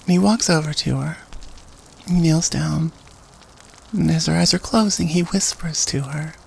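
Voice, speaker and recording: A man playing a student who tells a sinister campfire horror story in a deliberate spooky style, in an audio drama. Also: on the high side, creaky, a little mellow, husky, full character voice, low-quality recording. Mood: ominous.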